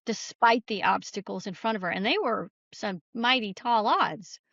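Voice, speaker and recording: A woman's voice: mid-range voice, normal speed, a bit bright, no room sound. A woman talking as an author guest in a conversational, plainspoken, folksy way, on slightly lo-fi audio. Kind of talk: conversational interview.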